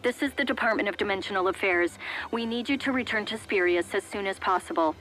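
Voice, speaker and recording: A woman's voice: medium pitch, fast talker, fairly bright tone, lightly acted, poor audio. Female company aide for a game, actress: mid-range voice, formal, businesslike, music behind. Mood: brisk. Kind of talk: English video game voice acting. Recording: music under the voice.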